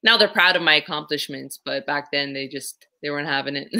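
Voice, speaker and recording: Low-voiced bright young woman on a call. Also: expressive, moderate pace, creaky. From Canada. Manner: chatty, laughs between phrases. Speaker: guest, pro boxer. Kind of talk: interview answers. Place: small room indoors. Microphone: video call, laptop mic.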